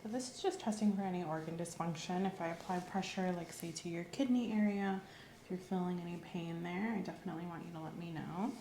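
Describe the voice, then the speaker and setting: A woman giving calm, slow, clinical instructions as a doctor in an ASMR roleplay, sometimes dropping into a whisper. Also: lowish voice, slight background noise. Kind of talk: soft-spoken ASMR medical roleplay. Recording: roomy echo.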